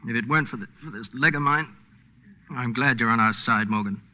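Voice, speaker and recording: A man's voice: medium pitch, brisk, natural voice. An actor playing a wounded underground resistance man in a 1940s radio drama, speaking formally in clipped, urgent phrases. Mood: urgent, strained. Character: wounded, urgent male French underground fighter. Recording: dull 1940s radio recording.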